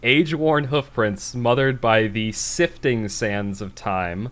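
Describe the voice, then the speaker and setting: Male podcast host, American accent, medium pitch, moves between easy banter and read-aloud prose. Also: slow pace, a bit bright. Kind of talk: casual banter and reading a story aloud.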